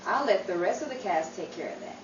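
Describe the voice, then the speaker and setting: A woman's voice: mid-range voice, animated, fast, close to an everyday voice. A woman playing a theatrical narrator introducing a 1940s-style radio drama with a scripted, inviting delivery. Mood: inviting, dramatic. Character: theatrical 1940s radio show announcer Pike. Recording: dull, noisy, echoey, distant mic.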